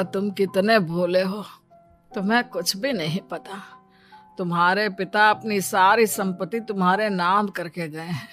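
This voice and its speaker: A woman voicing a loyal, kindly older male household servant in an animated story, deferential and gentle. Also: medium pitch, close to an everyday voice. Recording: music behind the voice.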